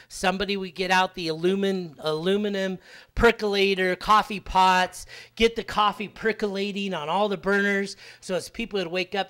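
A middle-aged man with a slightly high voice leads a guided tour through a ski lodge, talking into a handheld mic. He is friendly and rambles, using plenty of fillers as he points things out. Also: a bit bright, smooth. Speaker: club member giving a cabin tour. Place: indoors, ski lodge kitchen and dormitory.

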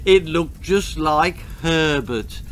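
A man's voice: fairly high pitch, slow, fairly bright tone, a little hiss or hum, rough recording. A man with an English accent voicing an oblivious, silly mother in a comic children's story, gushing and unconcerned. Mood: hysterical amusement.

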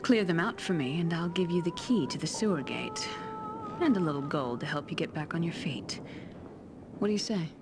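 Low-voiced fantasy tavern keeper, confiding. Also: female voice, animated, normal speed, music in the background. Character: friendly, concerned female tavern keeper in a fantasy town. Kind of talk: fantasy video game dialogue.

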